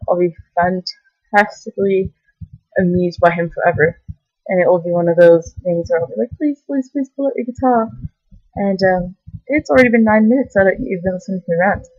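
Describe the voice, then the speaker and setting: A woman's voice: fairly low pitch, a little fast, a little mellow, no room sound, lo-fi sound. A young woman vlogging to her camera, rambling loosely with lots of fillers and bursts of enthusiasm. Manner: meandering, filler-heavy, giggly enthusiasm. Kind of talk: rambling casual vlog. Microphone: camera mic.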